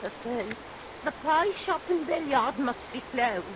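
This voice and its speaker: A woman's voice: high-pitched, animated, unhurried. A woman playing a scheming, fretful pie seller in a vintage radio play, coy and anxious in a theatrical delivery. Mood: guilt-ridden. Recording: hissy vintage radio recording with rain noise.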